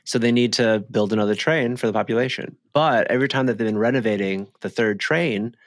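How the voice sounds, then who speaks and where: A man's voice: mid-range voice, a little fast, no echo, clean studio sound. A man co-hosting a podcast, chatting casually in a slangy, emphatic, bantering way. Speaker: podcast co-host. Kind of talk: casual chat.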